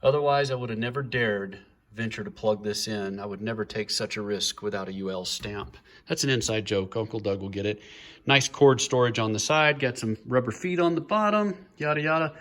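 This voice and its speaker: A man's voice: fairly low pitch, brisk, a bit bright. A man casually presenting a hobby build in an informal, chatty, lightly joking way, recorded on a handheld phone. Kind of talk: casual show-and-tell walkthrough.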